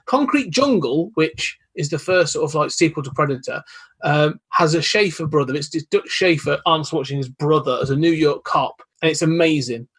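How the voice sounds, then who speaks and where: Husky-voiced man, eager guest. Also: fairly high pitch, moderate pace, a bit bright. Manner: rambling, eager, full of restarts. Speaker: podcast guest. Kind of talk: casual podcast chat.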